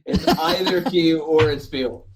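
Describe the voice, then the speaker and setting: A man's voice: a little high, a little slow, noisy background. A man hosting a casual panel chat about films, speaking in an enthusiastic, emphatic way. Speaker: podcast host.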